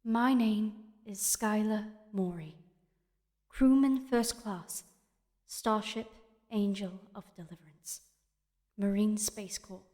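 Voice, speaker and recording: A woman playing a young, rattled, dutiful female space marine crewman in an audio drama, speaking haltingly. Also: medium pitch, mellow, natural voice. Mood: subdued, formal. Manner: halting, stammering under pressure. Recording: long reverb, music underneath.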